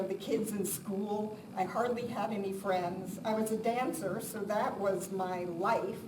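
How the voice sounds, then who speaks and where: Woman speaking in a noisy, echoey room. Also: medium pitch. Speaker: guest speaker. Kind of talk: informal spoken talk to a live audience. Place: room with a live audience. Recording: roomy, distant mic, loud background noise.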